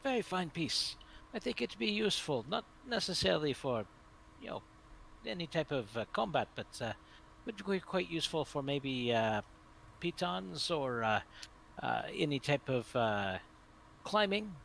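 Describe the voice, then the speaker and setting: A man playing a courteous, eloquent gentleman traveler in a tabletop game, speaking formally and wordily. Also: on the high side, very animated, unhurried, vocal fry, a little hiss or hum, full character voice. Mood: courteous, enthusiastic. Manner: formal, polite, wordy. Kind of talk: tabletop role-play.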